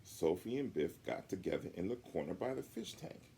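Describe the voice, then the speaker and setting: A man reading a picture book aloud to children in an animated way, with chatty asides. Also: deep voice, moderate pace, vocal fry, a little hiss or hum. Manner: chatty asides to young listeners.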